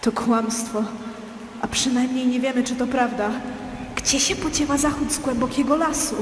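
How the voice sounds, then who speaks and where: A woman's voice: high voice, normal speed, reverberant, big-room sound, background noise, close to an everyday voice. A woman voicing an anxious, pregnant panda in an audio drama, defensive, pleading and emotional.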